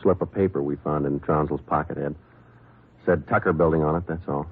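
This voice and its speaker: An actor playing a terse, stoic police detective, speaking in a clipped, matter-of-fact way in an old radio drama. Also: male voice, rumbling low, steady tone, fairly quick, husky, full character voice. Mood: deadpan, businesslike. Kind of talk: 1950s radio drama acting with case narration. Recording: muffled, narrow-band old radio recording.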